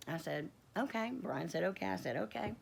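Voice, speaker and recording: A middle-aged woman with a croaky voice, giving a casual personal testimony in a rambling, halting way with many fillers and restarts. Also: on the low side, expressive. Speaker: homestead vlogger.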